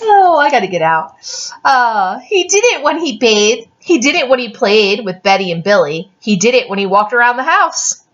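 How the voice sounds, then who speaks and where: A woman reading a story aloud informally, with chatty asides and an animated, giggly manner. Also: a little high, unhurried, highs cut off. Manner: animated, giggly, easily cracked up.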